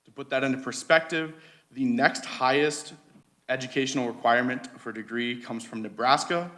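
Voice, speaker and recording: A man's voice: medium pitch, a bit bright. A man giving prepared testimony to a legislative committee, reading formally with a few stumbles and restarts. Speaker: policy advocate testifying.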